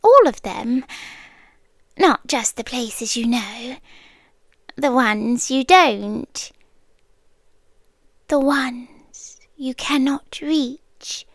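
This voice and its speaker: Very slow, whispery British woman as a mystical mapmaker. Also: high voice, animated, no echo, studio quality, full character voice. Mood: hushed, mysterious. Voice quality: soft, breathy, often dropping into whispers. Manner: slow, deliberate, musing, with dramatic pauses. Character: mysterious otherworldly cartographer, whimsical, gently knowing keeper of maps. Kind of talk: ASMR roleplay.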